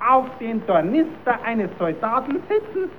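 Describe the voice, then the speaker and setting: Casting: cheeky puppet clown, stammering tale-spinner with very lively pitch swings, thin hissy old recording. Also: a little slow. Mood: eager. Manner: stammering, repeating himself, improvising tall tales. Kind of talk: German radio play acting for children. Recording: tinny, narrowband, hissy old radio recording.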